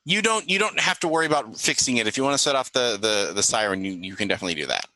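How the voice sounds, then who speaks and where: A man running a tabletop roleplaying game as game master, narrating casually and haltingly with pauses. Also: mid-range voice, lively intonation, pushed and bright, rough recording.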